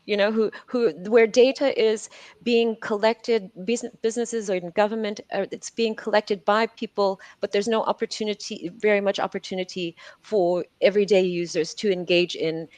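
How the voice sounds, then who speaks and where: A woman's voice: medium pitch, steady tone, normal speed, darkish tone, dry recording. A woman speaking earnestly as a panelist, often repeating words as she builds her points. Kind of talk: panel discussion answer.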